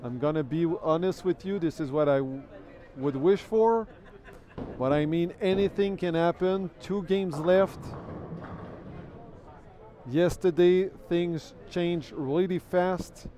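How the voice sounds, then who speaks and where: A man's voice: high-pitched, takes it slowly, dark tone. A man whose first language is French, doing live commentary on a bowling event. He talks in a chatty way, thanks viewers, and repeats words while he thinks. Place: bowling alley.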